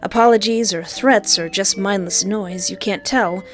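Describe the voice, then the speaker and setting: A woman reading a visual novel aloud, with playful, animated joking asides between the narration. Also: mid-range voice, unhurried, faint music behind. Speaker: let's play streamer.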